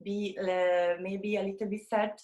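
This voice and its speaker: A woman's voice: medium pitch, level tone, unhurried, a little mellow, lo-fi sound. Italian woman, hesitant, echoey room. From Italy. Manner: hesitant, searching for words with many fillers. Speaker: photographer, guest. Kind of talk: interview.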